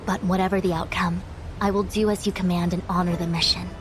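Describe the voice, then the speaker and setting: A woman's voice: lowish voice, level tone, quick pace, music in the background, close to an everyday voice. A woman playing a dignified diviner and deputy in a game cutscene, formal and composed. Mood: earnest, loyal. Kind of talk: video game cutscene acting.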